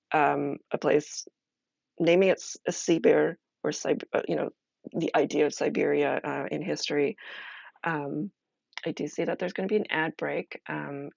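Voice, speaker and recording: A woman streamer chatting casually as she explains a solo role-playing game, halting and thinking aloud. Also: deep voice, creaky, slightly rough, no echo, muffled recording.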